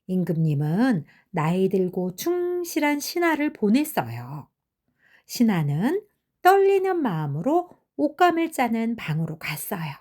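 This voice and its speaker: An older woman tells fairy tales to children. Her voice is high and moves up and down expressively, at a slow, gentle storyteller's pace. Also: huge pitch swings.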